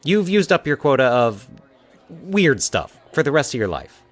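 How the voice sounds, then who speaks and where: A man voicing a sympathetic young woman, a reassuring, gently teasing friend in a fiction podcast story. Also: on the high side, sing-song, moderate pace, soft background music, voice pushed up. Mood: playful, teasing. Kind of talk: fiction podcast dialogue within narration.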